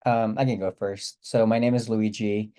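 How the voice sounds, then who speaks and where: A young man in his twenties, a student panelist, speaking in a relaxed, hesitant way over a video call. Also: fairly low pitch, moderate pace, clear, resonant tone. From Northern California. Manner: relaxed, hesitant, many "um" fillers. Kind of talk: casual panel talk.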